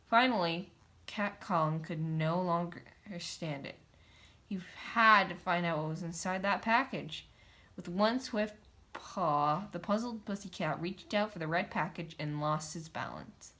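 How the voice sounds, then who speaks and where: A woman's voice: fairly low pitch, a bit bright. A woman reading a children's picture book aloud, narrating in a theatrical, emphatic way. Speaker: storybook reader. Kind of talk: dramatic children's story narration.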